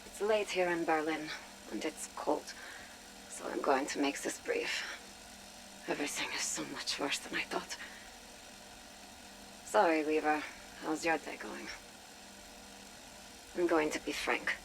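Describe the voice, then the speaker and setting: An actress playing a wary, sardonic intelligence agent confiding in a game audio log, in a dry, frank, weary way. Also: female voice, low-pitched, a little slow, vocal fry, long pauses, fairly bright tone, slight background noise, heavily acted, low-quality recording. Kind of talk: dramatic audio log monologue.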